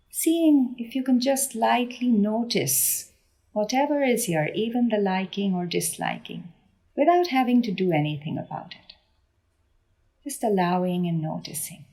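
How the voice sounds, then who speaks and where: A woman leading a guided meditation in a gentle, unhurried manner with deliberate pauses between phrases. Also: medium pitch. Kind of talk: guided body scan meditation.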